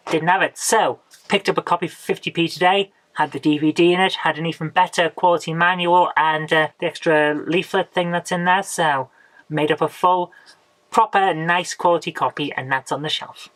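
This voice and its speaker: A woman, hoarse from a coming cold, hosting a casual retro game haul video. She rambles chattily and often ends with tag questions. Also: on the low side, fairly even intonation, normal speed, dry recording, low-quality recording. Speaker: retro gaming channel host.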